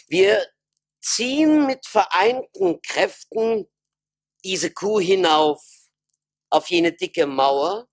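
An actor playing a self-important wise townsman, solemn and declamatory in a radio play. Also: on the high side. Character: self-important wise man of a town of fools. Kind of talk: stage-like radio play acting.

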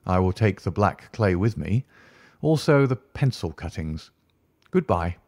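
A man voicing a calm, incisive male detective who speaks in a measured, commanding way. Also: sits low, no room sound, studio quality, exaggerated voice.

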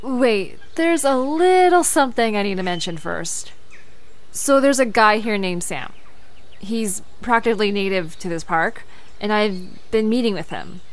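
A woman's voice: fairly high pitch, clear, resonant tone. A woman playing a curious, determined young investigator in an audio drama, earnest and conversational.

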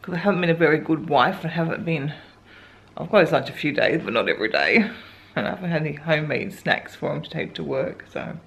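An Australian woman in her forties chatting through a daily home vlog, rambling with soft ums and sounding a little congested. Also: deep voice, expressive, quick pace, vocal fry, slightly rough. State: congested, getting a cold. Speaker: vlogger. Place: living room couch at home. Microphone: handheld camera held close.

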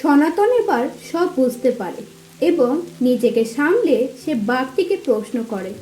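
A woman's voice: sits high, expressive, measured pace, dark tone, faint music behind. A woman narrating a scripted fairy tale as the storyteller of a cartoon story.

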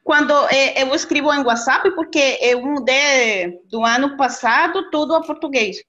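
A middle-aged woman with a Costa Rican Spanish accent, a language student chatting haltingly as she searches for words on a video call. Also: sits high, fairly bright tone. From Costa Rica. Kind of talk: casual lesson conversation. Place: indoor room, video call. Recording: dull lo-fi video-call audio.

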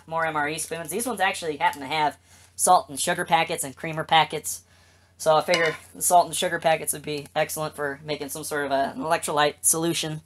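Woman w a pretty low voice, just talking thru her gear off the cuff, matter of fact. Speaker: vlogger. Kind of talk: casual off-the-cuff gear walkthrough.

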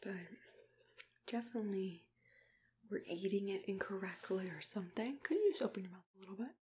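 Softly whispering young woman, ASMR roleplay. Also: mid-range voice, animated. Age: young adult. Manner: gentle, unhurried, reassuring. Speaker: ASMR roleplayer playing a dentist.

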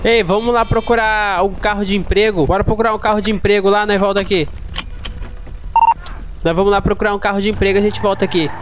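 A man's voice: high voice, steady tone, fast talker, background noise. A young man with a Brazilian accent chatting casually while streaming a roleplay game. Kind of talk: casual gameplay chat.

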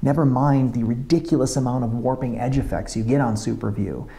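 Gravelly man explaining camera settings. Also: mid-range voice, brisk, warm tone. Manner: explanatory, methodical, walks through settings step by step. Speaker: motovlogger. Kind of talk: technical tutorial talk to camera. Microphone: camera on a tripod.

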